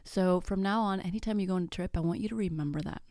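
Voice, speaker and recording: Chatty podcast mom, spanish is her first language, lowish voice, dry close mic. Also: fast talker, warm tone, dry recording. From Mexico. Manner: chatty, self-deprecating, jokey asides. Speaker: podcast host. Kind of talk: casual solo podcast.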